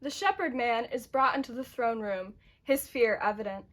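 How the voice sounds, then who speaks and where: A woman's voice: on the high side, normal speed. A woman narrator reading scripted dramatic storytelling for a puppet show.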